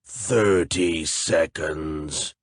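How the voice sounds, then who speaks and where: A man voicing a huge, menacing flesh golem monster that speaks slowly with a deep, wet, gurgling voice. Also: slightly rough, no room sound, studio quality, heavily acted. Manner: slow, deep, gurgling and distant.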